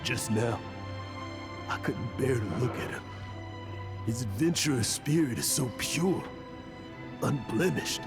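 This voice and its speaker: An actor voicing a weary old tavern storyteller in a game, halting and confessional, over game music. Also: male voice, fairly low pitch. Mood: remorseful. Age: older. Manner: halting, confessional, turning emotional. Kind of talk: game voice acting.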